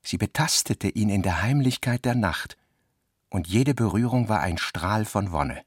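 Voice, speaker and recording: A man's voice: on the low side, lively intonation, measured pace, slightly rough, no room sound, clean studio sound. A man reading literary prose as the narrator of a radio drama.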